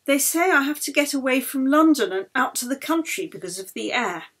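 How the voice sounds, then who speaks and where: Woman acting a frail, plaintive, husky woman patient. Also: sits high, lively intonation, fairly quick, fairly bright tone, pitched above the performer's own voice, exaggerated voice. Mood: tearful. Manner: faltering, plaintive, emotional.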